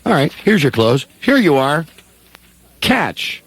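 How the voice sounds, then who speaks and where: A man's voice: a little high, sing-song, slow, vocal fry, slight background noise, exaggerated voice. A man playing an earnest, playful young small-town man in a comedic radio play, with a drawling, hesitant delivery. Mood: teasing, musing. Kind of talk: comedic radio-play read of a classic film script.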